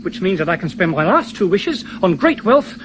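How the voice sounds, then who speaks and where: A cocky, argumentative British camper, indignant and emphatic. The voice sits high and moves about a lot, in a noisy vintage radio drama. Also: male voice, big pitch swings, moderate pace. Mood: gloating. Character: indignant, cocky male camper bargaining for his soul. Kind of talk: 1970s radio play acting.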